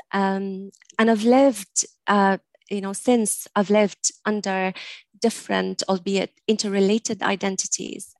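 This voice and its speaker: Measured Syrian-born woman academic. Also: medium pitch, dry recording. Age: 40s. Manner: thoughtful, measured, with frequent ums. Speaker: guest, academic. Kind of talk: podcast interview.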